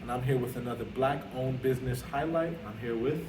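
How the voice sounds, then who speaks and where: A man's voice: medium pitch, brisk, noisy background. Male host, casual and affirming; echoey room, distant mic. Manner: friendly, affirming, with frequent fillers. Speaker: interview host, branch president. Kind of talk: casual interview conversation.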